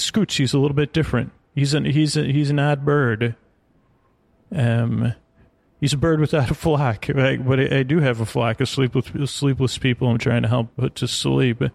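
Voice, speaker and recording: Gravelly, meandering man. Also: mid-range voice, no room sound. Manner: meandering, hesitant, self-interrupting, trailing off into tangents. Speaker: sleep podcast host. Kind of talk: rambling bedtime monologue.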